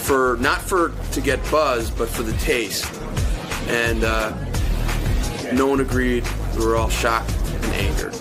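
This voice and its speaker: A man hosting a recap show, narrating a summary in a halting way. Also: medium pitch. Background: loud music.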